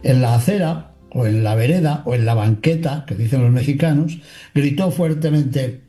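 Sacerdote locutor, jovial y bromista, voz suave y cálida sobre una leve música de fondo. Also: male voice, medium pitch, moderate pace, warm tone, faint music behind. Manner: jovial, chuckles at his own anecdotes. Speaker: priest and radio host. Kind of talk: relaxed storytelling on a call-in radio show.

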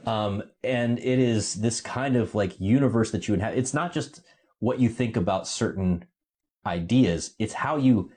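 A man with a low voice reflects aloud during a relaxed podcast conversation. He muses and circles his ideas, with frequent fillers and the odd chuckle. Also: normal speed. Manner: reflective, musing, many fillers. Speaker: podcast co-host. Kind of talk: casual discussion.

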